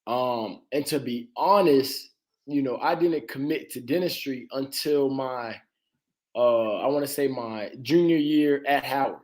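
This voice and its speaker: A young man from Atlanta talks as a dentist guest on an interview show. His voice sits at an ordinary middle pitch, and he speaks casually and easily, with plenty of "uh"s and quick laughs. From Atlanta, Georgia. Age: 20s. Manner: relaxed, laughs easily, frequent "uh" and "you know".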